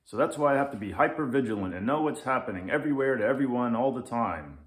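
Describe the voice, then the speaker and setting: Man doing a loud, emphatic grumpy storybook lynx. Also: mid-range voice, lively intonation, fast talker, voice pushed up, close to an everyday voice. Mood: emphatic, lecturing. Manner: emphatic, scolding, impatient. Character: grumpy, harried, hyper-vigilant male lynx. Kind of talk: children's storybook character voice. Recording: roomy echo.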